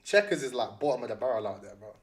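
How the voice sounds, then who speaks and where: A man's voice: mid-range voice, expressive, fast talker. A young man with a London accent chatting casually to livestream viewers, slangy and often repeating phrases for emphasis.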